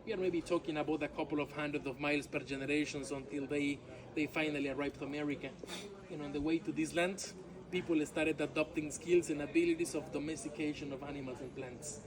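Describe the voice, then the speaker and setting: Mexican tour guide guy, maya is his first language, joking with the group outside, slightly high voice, people chattering around. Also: steady tone, fairly quick, low-quality recording. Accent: Mexican Spanish. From Yucatán, Mexico. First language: Yucatec Maya. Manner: chatty, keeps saying "my friends" and "all right?"; jokey, laughs at his own jokes. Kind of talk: outdoor walking tour talk. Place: outdoors among ruins, tour group around. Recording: outdoor crowd of tourists behind.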